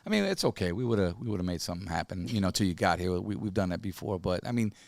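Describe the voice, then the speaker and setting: A man hosting a podcast in loose, joking talk full of slang, his voice a little raspy. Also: low-pitched, expressive, fast, no echo. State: slightly raspy from partying. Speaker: podcast host. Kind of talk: casual chat.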